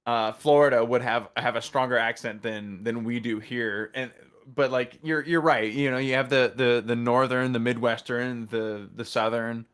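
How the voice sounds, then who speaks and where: An American man talking casually as a podcast co-host, enthusiastic but halting, often repeating words as he works out his point. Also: medium pitch, fairly even intonation, a bit bright, clean tone. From United States. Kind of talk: casual chat about a TV show.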